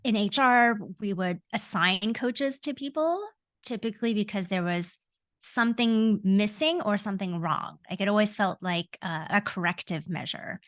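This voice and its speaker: A woman's voice: mid-range voice, moderate pace, no echo. Earnest Canadian woman, dry close sound. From Hong Kong, raised in Canada. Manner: earnest, reflective, with frequent ums and self-corrections. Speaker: guest, coach. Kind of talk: podcast interview.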